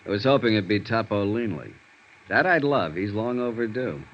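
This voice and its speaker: An actor playing a gruff, tired police sergeant in a vintage radio noir drama, blunt and hard-boiled. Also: male voice, on the low side, lively intonation, moderate pace. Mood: weary, resigned. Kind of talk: 1950s radio noir acting. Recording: dull, noisy old radio recording.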